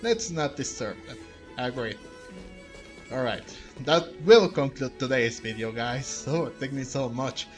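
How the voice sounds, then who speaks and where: Gamer recapping a quest: a man who talks slowly and circles back on his words, over soft music. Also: mid-range voice. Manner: rambling, thinking aloud with many restarts. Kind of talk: casual gameplay commentary.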